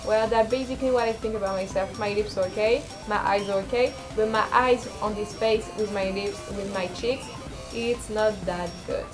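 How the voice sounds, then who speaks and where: A young woman with a low speaking voice, rambling and self-deprecating in a casual confessional vlog, full of fillers and restarts. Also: steady tone, normal speed, music in the background. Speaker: vlogger.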